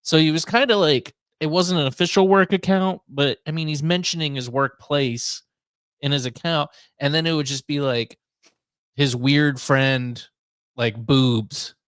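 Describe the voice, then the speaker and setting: A man hosting a casual talk radio show, chatting in an easygoing, wry, measured way. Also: a little high, a bit bright, smooth, dry recording, clean studio sound.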